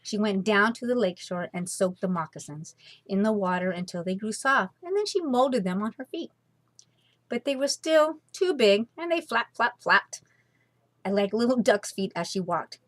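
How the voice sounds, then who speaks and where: A woman reading a children's story aloud as storyteller, expressive and dramatic with deliberate phrasing. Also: medium pitch, dry recording.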